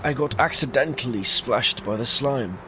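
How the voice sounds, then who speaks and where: A man voicing a composed, gentlemanly talking apple in a video game, formal and understated. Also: mid-range voice, moderate pace, noisy background, natural voice. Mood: ailing, polite.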